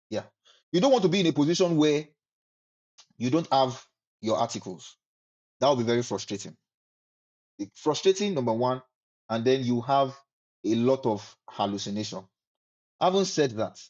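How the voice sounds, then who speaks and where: Man giving relaxed live-stream advice. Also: a little high, lo-fi sound. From Nigeria. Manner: relaxed, repeats phrases for emphasis. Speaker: host answering research questions.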